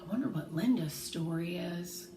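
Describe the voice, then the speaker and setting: A woman reading a children's novel aloud in expressive storytelling narration. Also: lowish voice, normal speed. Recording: roomy echo, faint background noise.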